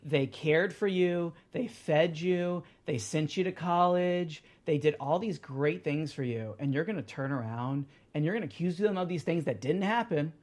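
A man in his late thirties talking casually as a podcast guest. His voice sits a little high for a man. He rambles, trips over restarts and leans on fillers. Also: normal speed, few pauses, a little mellow. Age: 30s. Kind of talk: casual chat.

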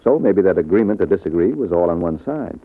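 An American actor playing a wisecracking, sardonic private detective in 1940s radio style. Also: male voice, low-pitched, expressive, fast, husky. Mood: smooth, probing. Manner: sardonic, slangy. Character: wisecracking, cool-headed male private detective. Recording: tinny band-limited old radio broadcast.

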